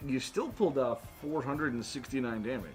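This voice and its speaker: A man's voice: mid-range voice, lively intonation, a little fast. A man acting as game master, casually narrating a tabletop role-playing game in an informal way, with some swearing. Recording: music playing behind the voice. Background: music.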